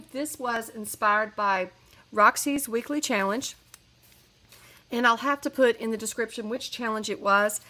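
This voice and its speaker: Bright-voiced woman with a head cold. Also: on the high side. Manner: chatty, rambling with fillers and restarts. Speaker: crafting channel host. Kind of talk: casual crafting tutorial voice-over.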